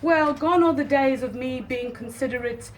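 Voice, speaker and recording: A woman at her front door, arguing firmly and loudly with a neighbour, caught on a phone held in her hand. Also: high voice, moderate pace. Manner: loud, firm, insistent. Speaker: homeowner doing building work. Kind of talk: heated doorstep argument. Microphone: phone held in hand, pointed away. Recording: distant phone recording, faint street noise.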